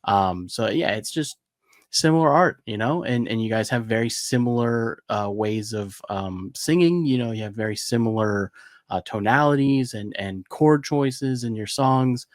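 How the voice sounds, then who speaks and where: A man's voice: mid-range voice, lively intonation, moderate pace, no room sound, studio quality. A man hosting an interview, chatting enthusiastically and rambling with lots of fillers. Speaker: interview host. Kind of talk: casual interview chat.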